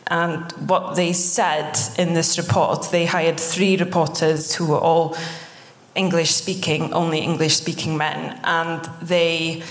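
A woman's voice: low-pitched, little pitch movement, slow, soft background music. A Welsh-accented woman, a native Welsh speaker, talking earnestly and personally as a guest on a live podcast, sometimes searching for words in English. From Wales. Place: indoor live venue stage. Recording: roomy live stage recording.

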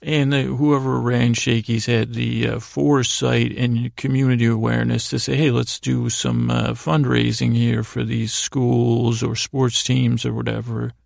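A middle-aged American man hosting a bedtime podcast, telling meandering stories in a gravelly voice, haltingly trailing off and wandering between thoughts. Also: mid-range voice, little pitch movement, a little mellow, no echo, studio quality. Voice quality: gravelly, creaky voice. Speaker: podcast host. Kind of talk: rambling, meandering bedtime storytelling monologue.

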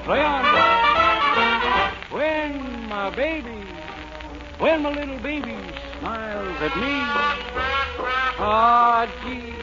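A man's voice: extremely high pitch, drawn-out words, long pauses. A man doing a theatrical 1940s radio comedy song act, crooning and breaking into spoken asides. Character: hammy jazz crooner pleading with his audience. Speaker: singer and comedian. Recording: muffled old radio recording, music behind.

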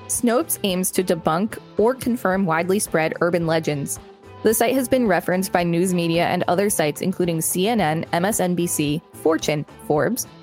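Female podcast co-host, lowish voice, article read-aloud with chatty asides, music underneath. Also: darkish tone. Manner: conversational, informal asides. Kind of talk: reading an article aloud with casual commentary.

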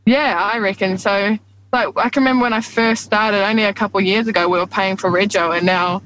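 A woman's voice: mid-range voice, steady tone, fairly quick, fairly bright tone, a little hiss or hum, poor audio. A young woman from New Zealand, a rugby league captain, chatting casually in an interview and laughing often. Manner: laid-back, chatty, laughs often, says "reckon" and "like".

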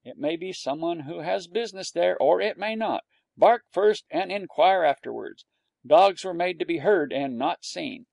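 A man's voice: high-pitched, expressive, moderate pace, gravelly, a little mellow, no echo, pitched above the performer's own voice. A man reading a story, voicing a stern mother dog who lectures her pup insistently.

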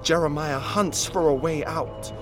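A man narrating an audio drama intensely, sometimes dropping to a hushed whisper. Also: on the high side, steady tone, measured pace, music in the background.

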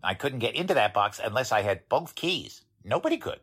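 A man's voice: medium pitch, very animated, fairly quick, fairly bright tone, husky. A man playing a chatty, deferential bank attendant in a folksy, plain-spoken 1940s radio drama style. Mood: earnest, deferential.

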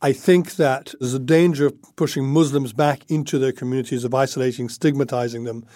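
Mellow male editor, close and dry. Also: medium pitch, steady tone, a little fast, dry recording. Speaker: journalist, foreign affairs editor. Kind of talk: explanatory interview commentary.

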